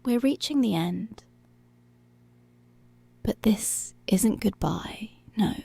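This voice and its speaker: A woman performs a commanding, seductive policewoman in an intimate ASMR roleplay. Her delivery is very slow and deliberate, slipping between murmur and whisper. The voice is husky and breathy with a heavy fry, possessive but tender. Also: medium pitch, animated, mellow, no echo, exaggerated voice. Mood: possessive, soothing. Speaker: ASMR voice actor. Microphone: close, dry microphone.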